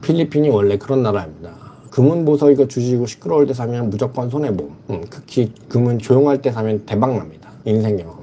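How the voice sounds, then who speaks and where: A man's voice: mid-range voice, lively intonation, quick pace, hardly any pauses, mellow, a little hiss or hum. A middle-aged man hosting a casual livestream, answering viewer comments bluntly and frankly. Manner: blunt, reads chat comments aloud and replies frankly. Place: lives in the Philippines.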